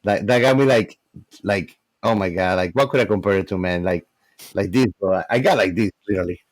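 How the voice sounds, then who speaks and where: A man's voice: low-pitched, brisk, rough recording. A man co-hosting a casual movie discussion, talking in a slangy, emphatic way. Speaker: podcast co-host.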